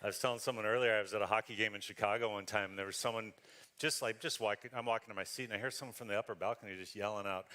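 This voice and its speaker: A man's voice: on the low side, fairly even intonation, racing, sharp tone. A man from Western Canada giving a conversational sermon as a guest speaker, self-deprecating and often laughing.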